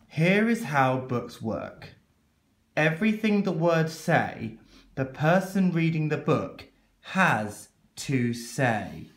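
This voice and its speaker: A man reading a children's book aloud in a theatrical, exaggerated, mock-exasperated way. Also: mid-range voice. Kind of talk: animated children's story read-aloud. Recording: echoey room.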